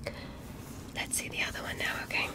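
A woman playing a polite, curious alien doctor in an ASMR medical roleplay, speaking gently and carefully in a breathy whisper with formal, reassuring phrasing. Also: a little fast, lightly acted. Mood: careful, focused. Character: polite, curious female alien doctor examining a human patient.

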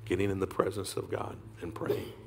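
A man in his thirties preaching a sermon on a large church stage, swinging from loud calls to hushed whispers and calling on the crowd to answer back. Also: low voice, completely flat delivery, brisk, gravelly, background noise. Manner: preaching with sudden whispered drops and loud rises. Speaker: pastor.

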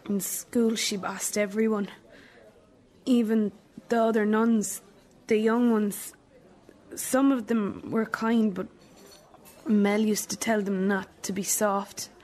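An actress playing a thirty-year-old Irish daughter in a radio drama, direct and questioning, with a wounded resentment. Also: female voice, medium pitch, fairly even intonation, takes it slowly, darkish tone, natural voice, poor audio. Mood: wounded, resentful. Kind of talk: naturalistic radio drama acting.

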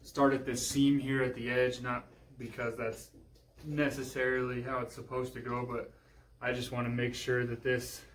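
Even-toned American man in an echoey room. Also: medium pitch, moderate pace. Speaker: DIY vlogger. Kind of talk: casual hands-on renovation walkthrough. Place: small empty bedroom indoors. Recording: roomy echo, faint hiss and handling clicks.

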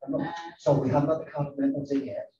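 A man's voice: medium pitch, flat delivery, fast, dull audio, crowd noise. A man reporting on a project in a meeting, enthusiastic and repeating his words. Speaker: project presenter. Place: large meeting room. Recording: echoey room from far off, noisy.

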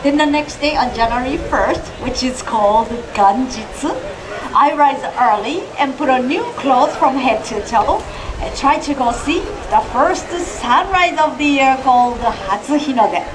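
A woman performing as a Japanese storyteller vendor, telling a gentle story to an audience. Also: sits high, lively intonation, a little slow, crowd noise, rough recording. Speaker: theme park storyteller.

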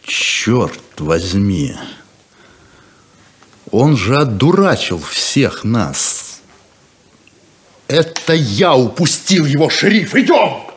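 An actor playing a gruff, proud and earnest sheriff's deputy in a 1970s radio drama. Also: male voice, medium pitch, very animated, drawn-out words, pauses often, slight background noise. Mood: angry.

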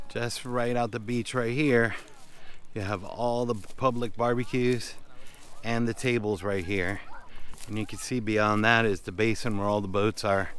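A man's voice: on the low side, smooth. A man, a boat captain casually narrating a walking tour outdoors and talking straight to his viewers. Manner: relaxed, conversational, addresses viewers directly. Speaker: fishing boat captain and vlogger. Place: outdoors on a small island.

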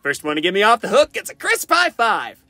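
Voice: mid-range voice, wildly swooping pitch, a little fast, pushed and bright, no echo. An amateur voice actor playing a cocky, goofy, sarcastic survivor in fan-made video game voice lines, flippant and panicky with theatrical delivery.

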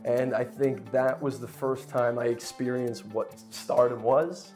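A man in his thirties, from Poland and raised in Brooklyn, chatting as a guest actor in a lively, joking way with many restarts, on a lapel mic in a home kitchen. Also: mid-range voice, a little slow, faint music behind. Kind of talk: casual interview chat.

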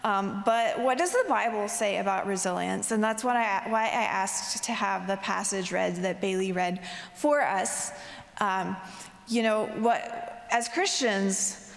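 A young woman speaks earnestly into a handheld mic on a large chapel stage, often pausing with ums and you knows. Her voice sits in a middle pitch range and rings through a roomy hall with a long reverb tail. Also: creaky. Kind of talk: testimony talk.

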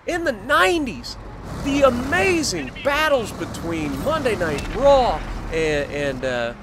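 An American man in his thirties telling a casual, jokey story in an animated, exclamatory way. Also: high-pitched, slow pace, background noise. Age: 30s. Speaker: gaming video creator. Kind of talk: casual storytelling over gameplay.

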